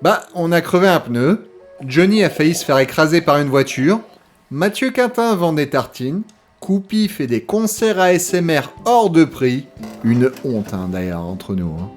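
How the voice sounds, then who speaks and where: A man playing a casual, gently mocking sidekick in an audio drama, with a deadpan, colloquial delivery. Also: a little high, a little slow, faint music behind. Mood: matter-of-fact. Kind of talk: French audio drama acting.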